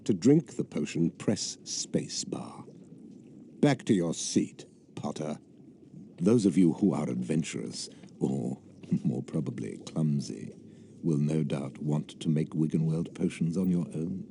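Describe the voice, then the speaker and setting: An actor with a British accent playing a stern, condescending teacher of potions at a wizard school in a video game, speaking slowly and deliberately. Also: male voice, extremely low pitch, gravelly, dark tone, slight background noise, exaggerated voice. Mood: sneering, commanding. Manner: slow, deliberate, condescending delivery.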